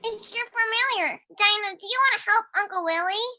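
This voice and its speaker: A woman's voice: very high voice, fairly quick, sharp tone, full character voice. A woman voicing an uncanny, coaxing cat familiar in a live radio drama. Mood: coaxing, secretive.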